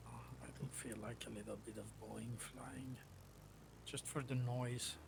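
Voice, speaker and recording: A man chats casually as a livestream host, mulling things over aloud. His delivery is slow and hesitant, with a middling pitch. A clear engine drone runs behind his voice. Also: steady tone, noisy background. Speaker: livestream host playing a flight simulator. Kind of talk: casual livestream chat with other players.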